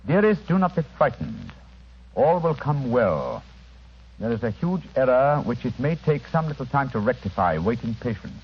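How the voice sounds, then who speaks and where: An actor playing a shrewd, courteous gentleman detective with a British accent, precise and analytical, in a vintage radio drama. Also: mid-range voice, sing-song, moderate pace. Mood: scrutinising. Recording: noisy, muffled old radio recording.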